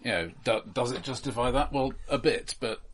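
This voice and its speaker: A man's voice: mid-range voice, expressive, normal speed, a little hiss or hum. A man co-hosting a film podcast, discussing casually and adding qualifications as he goes.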